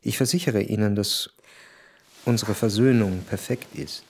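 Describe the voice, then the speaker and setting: A man playing an introspective narrator recalling his past love in a literary radio drama, measured and reflective. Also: on the low side, fairly even intonation, creaky, a little mellow, a little hiss or hum.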